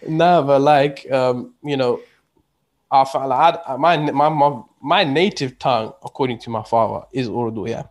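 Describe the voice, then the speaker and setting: Man, mid pitch, conversational guest who rambles and restarts often. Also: moderate pace. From England. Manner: rambling, many restarts and "you know"s. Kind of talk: casual conversation.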